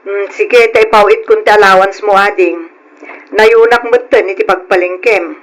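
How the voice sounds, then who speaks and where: A woman's voice: medium pitch, steady tone, moderate pace, a little hiss or hum, natural voice, low-quality recording. A woman playing a caring, timid young Filipina working abroad in an old-style radio drama. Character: young Filipina migrant worker, caring older sister, timid. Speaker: narrator and lead of a letter drama.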